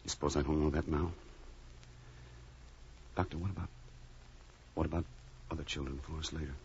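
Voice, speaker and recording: Very deep-voiced, guilt-ridden, somber husband. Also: male voice, fairly even intonation, fairly bright tone. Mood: remorseful. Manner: somber, halting. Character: grief-stricken, guilt-ridden husband, subdued and withdrawn. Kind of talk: melodramatic radio drama acting. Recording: dull vintage radio recording, faint noise.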